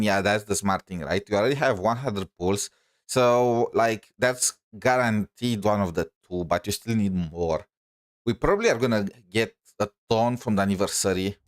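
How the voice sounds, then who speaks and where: A man chatting casually on a gaming stream, rambling and thinking aloud, often checking for agreement. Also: on the low side. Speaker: game livestreamer. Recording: music playing behind the voice. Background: music.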